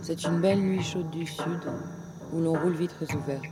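A woman's voice: deep voice, fairly even intonation, moderate pace. A woman reading a scripted dramatic story as a radio narrator, with music behind her. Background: loud music.

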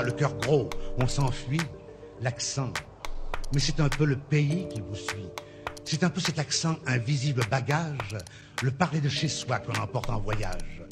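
Gravelly man reciting over music. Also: mid-range voice. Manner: lyrical, recited then sung. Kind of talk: song recording. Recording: song with music behind.

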